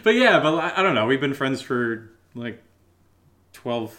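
A man's voice: mid-range voice, sing-song, unhurried, a bit bright, slight background noise. A man co-hosting a casual question-and-answer chat, rambling hesitantly through loosely strung thoughts. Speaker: podcast co-host and audio drama writer.

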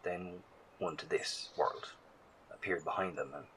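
An actor playing an earnest, shaken male survivor in an audio drama, speaking in halting, formal, emphatic phrases. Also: deep voice, steady tone, a bit bright, slight background noise. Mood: solemn.

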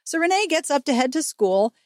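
A woman podcast host recapping a book in a chatty, sarcastic way. Her high voice moves up and down a lot, close on the mic with very little room sound. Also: brisk, dry recording. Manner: sarcastic, expressive, acts out dialogue.

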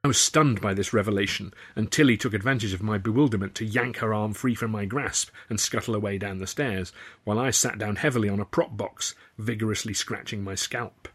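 A man reading his own novel aloud in the first person, with a wry, lively storytelling manner. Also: lowish voice, a little fast, breathy edge. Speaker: author and narrator. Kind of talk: audiobook narration, first person.

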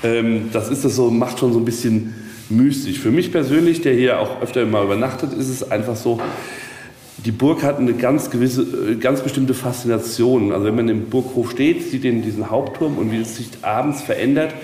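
Male castle guide, middle-aged, medium pitch, casual rambling explainer, heavily roomy sound. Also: moderate pace, warm tone. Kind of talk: casual explanatory interview talk. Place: reverberant space at a castle. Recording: echoey hall, faint ambient noise.

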